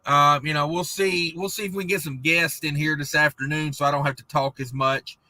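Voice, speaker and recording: A man hosting a trucking livestream, reading viewer comments in a rambling, laid-back chat, and his voice is going hoarse. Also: on the high side, fairly even intonation, a little fast, bright, forceful tone, dry recording. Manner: rambling, laid-back, many fillers and restarts. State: losing his voice.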